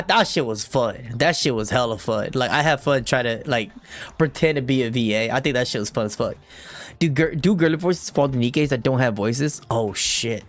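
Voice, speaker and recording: A young man streaming a game, chatting loosely with viewers and reading story text aloud, sometimes in a deep half-whisper. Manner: rambling, slangy, reads dialogue in a deep whisper. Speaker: gaming streamer.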